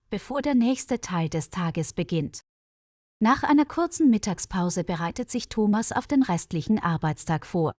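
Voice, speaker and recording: A woman reading a scripted story for language learners, articulating clearly. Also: medium pitch, a little mellow, dry recording, clean studio sound. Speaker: narrator.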